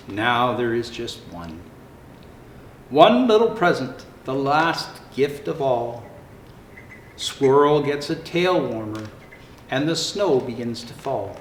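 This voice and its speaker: A man playing a jolly old Santa Claus, reading picture books aloud to children in a theatrical, unhurried, expressive storytelling manner. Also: mid-range voice. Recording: roomy echo, faint background noise.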